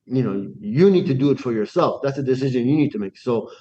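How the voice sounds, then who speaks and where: A man from Iran telling his life story in a casual interview. He talks in an animated way, restarts his sentences and acts out the dialogue he quotes. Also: mid-range voice, quick pace, highs cut off. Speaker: guest.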